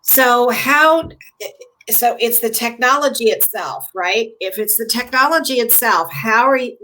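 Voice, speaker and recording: Woman interviewer, slightly high pitch; curious host who reworks her questions as she goes. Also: fairly bright tone, poor audio. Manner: restarts questions mid-sentence.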